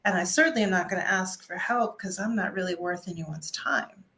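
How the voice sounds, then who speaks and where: A woman's voice: on the low side, brisk, pushed and bright. Woman as an anxious, self-doubting little pig.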